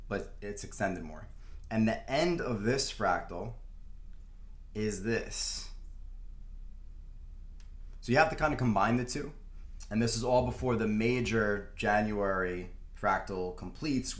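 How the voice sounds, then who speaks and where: A young man walks through stock charts at his desk, talking loosely with plenty of fillers. His voice sits on the low side. The room around him echoes noticeably. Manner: rambling, filler-heavy, laughs to himself. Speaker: stock market video host. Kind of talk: casual chart walkthrough. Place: room at a desk, in front of a screen.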